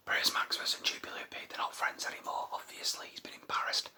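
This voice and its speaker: A man's voice: quick pace, bright, forceful tone. A man whispering an ASMR vlog at home at night, rambling and mocking himself. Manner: rambling, self-mocking, playful. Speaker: ASMR vlogger.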